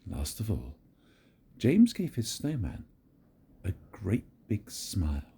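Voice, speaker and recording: Slow, husky-voiced grandfather storyteller. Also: on the low side. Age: older. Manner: gentle, very expressive storytelling. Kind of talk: reading a picture book aloud.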